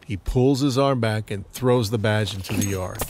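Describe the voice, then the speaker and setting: A man's voice: fairly low pitch, normal speed. A man reading scripted scene narration for an audio drama. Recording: clearly audible background noise.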